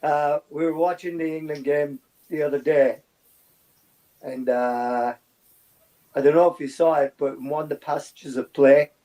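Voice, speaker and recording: A man with a mellow, gentle tone and a slightly high pitch for a male voice. He chats casually as a panellist on a sports show. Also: fairly even intonation, normal speed, poor audio.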